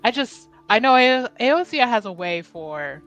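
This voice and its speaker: A woman's voice: on the high side, big pitch swings, measured pace, fairly bright tone, muffled recording, faint music behind. A woman playing in an online tabletop roleplaying game, laughing often and thinking aloud with hesitant questions. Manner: giggly, hesitant, talks through ideas. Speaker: player.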